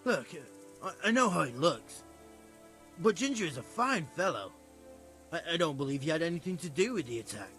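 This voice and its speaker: Lively, earnest medieval countryman. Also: a little high, normal speed, soft background music. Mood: hesitant, earnest. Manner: folksy, hesitant, earnest. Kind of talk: conversational video game cutscene acting.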